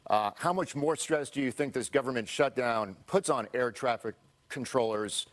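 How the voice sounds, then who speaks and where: An American man anchoring a live television newscast. He has a mid-pitched voice and moves between reading reports and putting questions to a guest. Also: normal speed.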